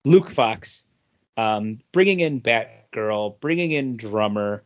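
A man co-hosting a comic book podcast, chatting casually and haltingly as he thinks aloud. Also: on the low side, expressive. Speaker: podcast co-host reviewing comic books. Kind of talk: casual chat. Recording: dull, band-limited audio.

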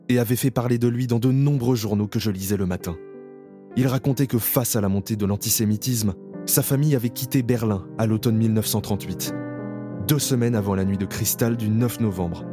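A man playing a grown survivor who narrates his memories in a slow, reflective, somber way. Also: on the low side, natural voice. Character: grown male camp survivor recalling his past. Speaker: first-person narrator. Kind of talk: scripted radio drama narration.

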